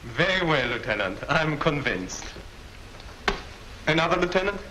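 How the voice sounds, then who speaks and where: A man acting in a 1940s film as a shrewd German officer interrogating prisoners, with a smooth, courteous and condescending manner. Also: on the high side, sing-song, unhurried. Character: suave, shrewd German major interrogating captured airmen. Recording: noisy 1940s film soundtrack.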